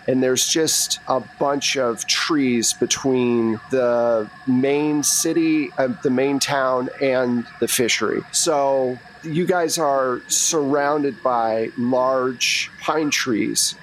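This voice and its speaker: Casual male game master over clear background noise. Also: mid-range voice, poor audio. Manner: casual, conversational. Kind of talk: improvised tabletop game narration.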